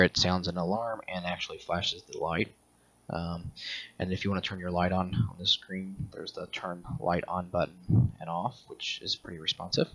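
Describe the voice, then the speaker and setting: A middle-aged man giving a casual product walkthrough as a reviewer, explaining step by step in a halting, hesitant way. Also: low voice, a bit bright, low-quality recording.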